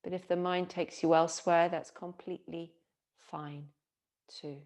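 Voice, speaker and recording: A woman yoga teacher guiding a relaxation meditation in a soothing, gentle manner with long pauses. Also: lowish voice, flat delivery.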